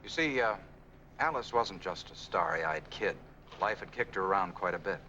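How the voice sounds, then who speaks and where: An actor playing an earnest, lovestruck American engineer in a 1940s film noir, speaking in a conversational manner. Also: male voice, low-pitched, animated, moderate pace, sharp tone, highs cut off, a little hiss or hum. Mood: earnest, wistful. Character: earnest, lovestruck male engineer, sentimental and solicitous. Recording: 1940s film soundtrack, faint music.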